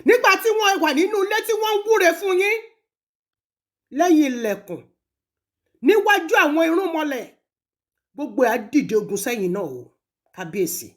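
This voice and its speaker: A woman with a Nigerian accent delivering a stern, deliberate admonishing message, repeating phrases for rhetorical weight. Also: high-pitched, sing-song. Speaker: speaker addressing a traditional ruler in a recorded message. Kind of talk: admonishing recorded audio message.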